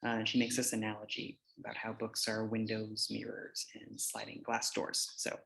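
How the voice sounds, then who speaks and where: American male presenter, conversational and halting, lowish gravelly voice. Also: monotone, a bit bright, rough recording. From United States. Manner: hesitant, conversational. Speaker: teacher giving a conference presentation. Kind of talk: informal slide presentation.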